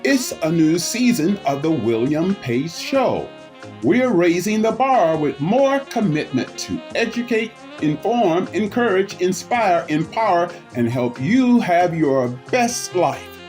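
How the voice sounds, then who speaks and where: Promo announcer, measured grand delivery over a music bed. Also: medium pitch, sing-song, a little mellow. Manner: grand, rhythmic list reading. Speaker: show announcer. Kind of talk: scripted promo narration.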